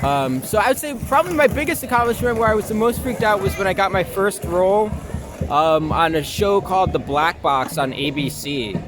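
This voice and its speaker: A young American man with a fairly high voice answers interview questions. He talks casually and loosely, pausing to find his words and slipping into informal language. Music plays clearly behind him. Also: animated. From Long Island, United States. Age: young adult. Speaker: actor being interviewed.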